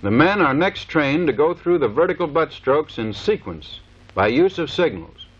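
A man's voice: on the high side, sing-song, moderate pace, husky. A man giving scripted, matter-of-fact instructional narration for a training film. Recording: dull lo-fi 1930s film soundtrack.

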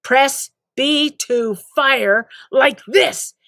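Storybook drill sergeant, played by a woman: stern, very deliberate, emphatic orders. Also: high voice, vocal fry, fairly bright tone, no echo, studio quality, pitched above the performer's own voice, heavily acted. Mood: commanding. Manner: clipped, emphatic, deliberate commands. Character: stern, single-minded male drill sergeant, robotically repeating game instructions.

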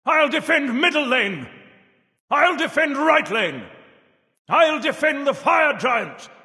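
A man's voice: extremely high pitch, big pitch swings, takes it slowly, sharp tone, full character voice. An actor playing a jovial, grandfatherly old Father Time in a video game, theatrical, emphatic and folksy. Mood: urgent, commanding. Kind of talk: video game character voice lines. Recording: long reverberant echo.

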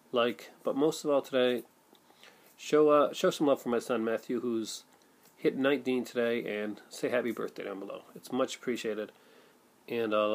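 A middle-aged man vlogging casually to camera at home, rambling with many fillers and restarts. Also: mid-range voice. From Canada. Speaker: vlogger, film collector. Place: home room with shelves of films, indoors. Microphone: built-in webcam mic at desk.

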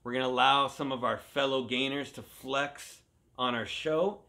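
A man's voice: mid-range voice, steady tone, moderate pace, creaky, pushed and bright. A man in his thirties hosting a show in casual chat from a living room. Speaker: host of a comic collecting show.

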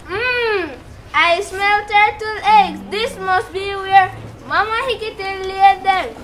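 A young woman playing a sly, hungry crocodile in an amateur puppet show, speaking slowly and deliberately. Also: extremely high pitch, a bit bright, exaggerated voice. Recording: noisy live puppet show.

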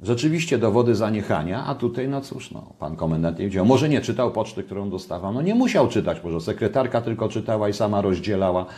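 An older man with a low, mellow voice delivers dry, sarcastic political commentary straight to his webcam. He talks from a home kitchen and keeps hammering his points with repetition and irony. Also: expressive, brisk, few pauses. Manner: ironic and sarcastic, repeats points for emphasis.

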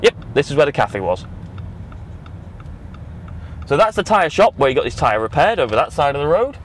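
A man's voice: a little high, big pitch swings, moderate pace, fairly bright tone. A young British man talking casually as a vlogger in a car. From United Kingdom. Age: young adult. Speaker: vlogger driving on a road trip. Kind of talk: casual vlog chat. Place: inside a moving or parked car. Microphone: dashboard action camera. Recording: car and road noise.

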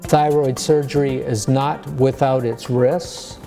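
An American man in his sixties, a surgeon explaining medicine to a lay audience in a measured, plain-spoken way. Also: medium pitch, fairly even intonation, gravelly, dark tone, soft background music. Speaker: surgeon giving a public lecture. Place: medium-sized lecture room.

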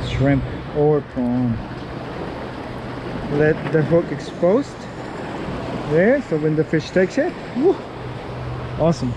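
A middle-aged man talks through his fishing session in an easygoing, chatty way, his voice sitting a little high and his pace slow. He is out on a rocky seawall, recorded on a head-mounted action camera. Waves and wind wash clearly behind him. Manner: easygoing, chatty, laughs and whoops when excited. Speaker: fishing vlogger. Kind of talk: casual fishing vlog commentary. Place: outdoors on a rocky breakwater by the sea. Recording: wind and surf noise outdoors.

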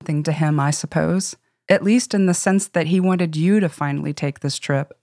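A woman reads scripted podcast fiction in a deep, mellow voice. The sound is very dry, free of room echo. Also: normal speed.

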